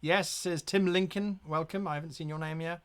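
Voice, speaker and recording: A man narrating a gamebook as game master on a live stream, with lively asides and pirate growls. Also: fairly high pitch, a little fast, clear, resonant tone.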